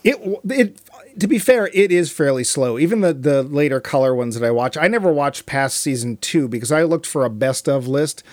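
Wry man, slightly high-pitched, dry close sound. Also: lively intonation, normal speed, a little mellow, no room sound. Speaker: podcast co-host. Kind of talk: casual film and TV chat.

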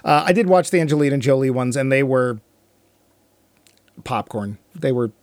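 A man's voice: medium pitch, expressive, normal speed, a little mellow, dry recording. A middle-aged man chatting casually about film and TV as a co-host, with a wry, joking manner. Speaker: podcast co-host.

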